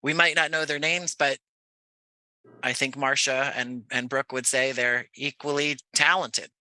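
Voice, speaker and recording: A man's voice: medium pitch, fairly even intonation, unhurried, pushed and bright, no echo, poor audio. An American man speaking as a podcast interview guest, choosing his words carefully and earnestly. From Jersey City, New Jersey, United States. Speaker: interview guest, playwright and actor.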